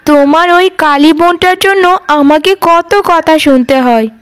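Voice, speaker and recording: A woman's voice: high-pitched, a little slow. A woman voicing a cruel, scornful sister-in-law in a cartoon, nagging and taunting sharply, with music underneath. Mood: aggrieved. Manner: sharp-tongued scolding, taunting. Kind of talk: animated cartoon drama voice.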